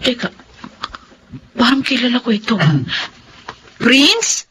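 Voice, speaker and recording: An actress with a Filipino accent playing a struggling, persevering student and dutiful daughter in an audio drama. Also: female voice, a little high, lively intonation, slow, pushed and bright, slight background noise, heavily acted. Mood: teasing, upbeat. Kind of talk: Filipino radio-drama style acting.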